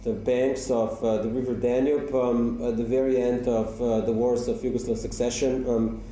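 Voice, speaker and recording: A male poet whose first language is Slovenian, introducing his reading with many pauses, ums and uhs. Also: mid-range voice, level tone, mellow. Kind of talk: live poetry reading with spoken introductions. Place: university lecture hall. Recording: echoey hall from far off.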